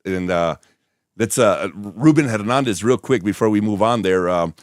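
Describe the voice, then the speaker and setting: Hoarse, raspy radio host with a low voice. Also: male voice, moderate pace, dry recording, studio quality. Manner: relaxed, rambling, many fillers. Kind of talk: live radio interview and show patter. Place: studio, streamed live on camera.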